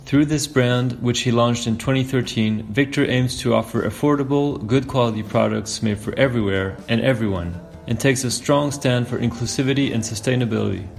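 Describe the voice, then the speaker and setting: A man's voice: mid-range voice, brisk, gravelly, few pauses, soft background music. A man hosting a podcast, reading a scripted intro and then chatting with short, encouraging replies. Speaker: podcast host.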